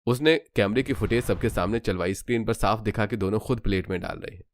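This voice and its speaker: A man's voice: lowish voice, fast. A man narrating a cartoon story in a scripted storytelling style.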